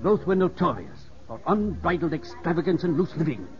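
Stern older gentleman, highish voice. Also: expressive, brisk. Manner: sober, admonishing. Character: cautious, stern older English family friend and guardian. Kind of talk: 1950s radio drama acting. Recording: dull vintage radio recording with music behind.

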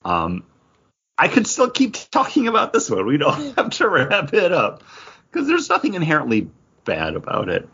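A man's voice: on the high side, wildly swooping pitch, moderate pace, bright, forceful tone, breathy edge, muffled recording. A man chatting casually as a podcast host, rambling and peppering his talk with "like.". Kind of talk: casual comic book review chat.

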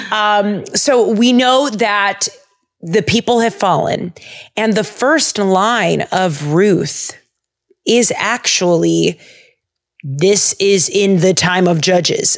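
Bubbly woman hosting a slow, chatty podcast. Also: mid-range voice. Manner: bubbly, animated, laughs often. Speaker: podcast host. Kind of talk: casual chat about the Bible.

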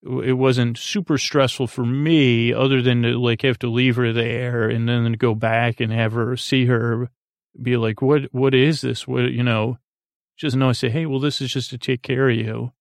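Creaky, monotone man rambling soothingly. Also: medium pitch, dry recording, clean studio sound. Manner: meandering and halting, with drawn-out pauses and false starts. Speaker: podcast host. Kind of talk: rambling, soothing bedtime monologue.

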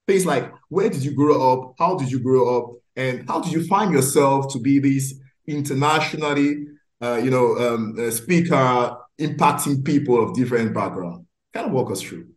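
Male host, mid pitch, earnest and wordy, roomy sound with soft music under it. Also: moderate pace. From Cameroon. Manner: earnest, leans on fillers like "I mean" and "you know". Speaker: podcast host. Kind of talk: interview hosting.

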